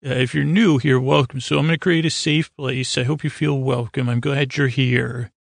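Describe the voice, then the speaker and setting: Gravelly, meandering male podcast host. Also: mid-range voice, fairly even intonation, normal speed, no echo, studio quality. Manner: meandering, stammering, keeps derailing himself. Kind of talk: rambling bedtime podcast monologue.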